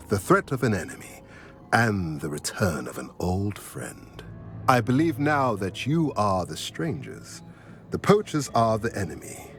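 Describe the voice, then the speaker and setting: An actor voicing a solemn, wise centaur seer in a video game, speaking in a stately, formal, oracular way. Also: male voice, on the low side, fairly bright tone. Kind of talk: dramatic video game cutscene acting.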